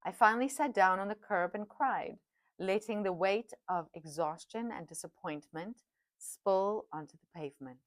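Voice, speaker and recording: A woman's voice: medium pitch, no room sound. A middle-aged woman, an Afrikaans speaker, reading her own memoir aloud at home with reflective, chatty asides. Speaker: podcast host and author. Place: home dining room.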